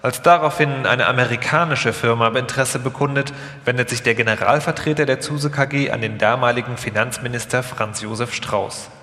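A man's voice: mid-range voice, steady tone, brisk, hardly any pauses, sharp tone. A man reading scripted documentary narration live, in a formal, factual manner. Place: large hall, live stage reading. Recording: echoey hall, long reverb.

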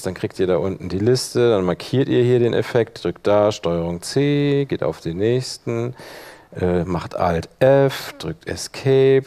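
A man's voice: on the low side, mellow. Male workshop presenter, colloquial, talks through each step as he demonstrates, thinking aloud. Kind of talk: informal software tutorial, demonstrating step by step.

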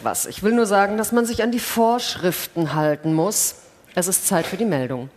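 An actress in a staged radio play reading, playing a dutiful astronaut with a dry, matter-of-fact delivery. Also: female voice, medium pitch, normal speed, a little mellow, slight background noise, close to an everyday voice. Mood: dry, wary.

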